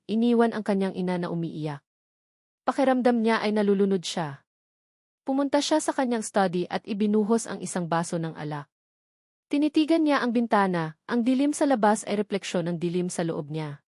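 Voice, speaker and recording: A woman's voice: mid-range voice, lively intonation, moderate pace, darkish tone, dry recording, studio quality. A woman reading a scripted story in a dramatic narrating style.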